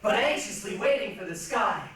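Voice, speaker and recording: A woman's voice: medium pitch, animated, normal speed, a crowd in the background. A woman performing in a reader's theatre, reciting in a theatrical, exclamatory style. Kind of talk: dramatic ensemble stage reading. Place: large echoey room, recorded from a distance. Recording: echoey hall, distant mic.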